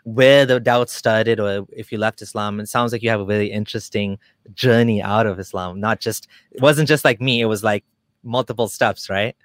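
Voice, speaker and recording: A man's voice: on the low side, fairly even intonation, moderate pace, dry recording. Chatty upbeat male stream host. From Kenya. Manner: chatty, upbeat, lots of fillers. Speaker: podcast host. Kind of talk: casual livestream chat.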